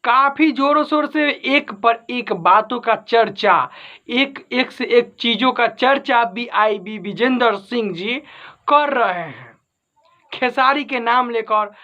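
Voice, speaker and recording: A man's voice: very high-pitched, slow, pushed and bright, muffled recording. A man giving informal entertainment news commentary as an online host, repeating and rephrasing his points for emphasis. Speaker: YouTube host.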